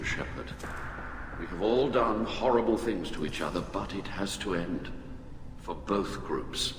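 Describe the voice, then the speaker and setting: Dignified alien admiral, swooping intonation. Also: male voice, on the low side. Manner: formal, measured oratory. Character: dignified alien male admiral, grave, principled, proud. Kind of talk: video game dialogue. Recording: long hall reverb, loud music behind. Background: loud game music.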